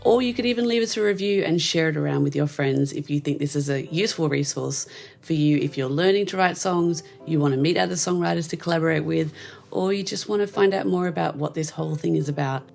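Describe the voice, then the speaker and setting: Low gravelly-voiced woman host. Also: fast talker, a little mellow, soft background music. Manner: gushing, laughs often, many fillers. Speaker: podcast host. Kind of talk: casual interview chat.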